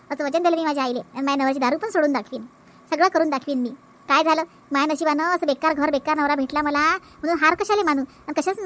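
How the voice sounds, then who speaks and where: A woman's voice: sits high, a bit bright. A woman voicing a proud, determined young village wife in a family cartoon, assertive and emphatic, with a Vidarbha Marathi accent. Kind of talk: comic family cartoon dialogue.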